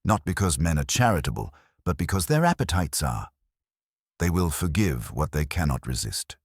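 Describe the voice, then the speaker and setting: Audiobook role: pompous, precise male detective played by a male narrator, very low, formal, scornful. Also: sing-song, normal speed, slightly rough, no echo, clean studio sound, full character voice. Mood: pronouncing. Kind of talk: audiobook character dialogue.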